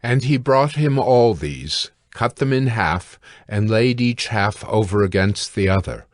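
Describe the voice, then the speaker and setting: A man narrating scripture from a script in a measured, formal reading manner. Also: fairly low pitch, expressive, no room sound, clean studio sound.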